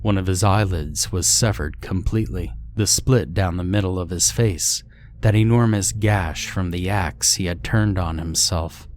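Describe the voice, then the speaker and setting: A man's voice: sits low, measured pace, darkish tone, a little hiss or hum. A man reading a scripted first-person horror story as its narrator.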